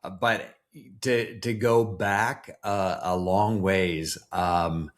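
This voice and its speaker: A man's voice: sits low, steady tone, very slow. A man with a Canadian accent, a podcast guest chatting casually and often restarting his sentences. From East Coast Canada. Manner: rambling, self-correcting. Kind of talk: casual interview chat.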